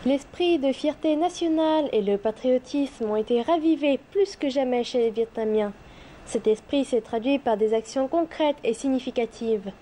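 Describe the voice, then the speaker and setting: A woman with a somewhat high but mellow voice reads news links slowly and deliberately. A faint background noise sits under her voice. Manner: slow deliberate read. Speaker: news anchor. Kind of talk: scripted news narration.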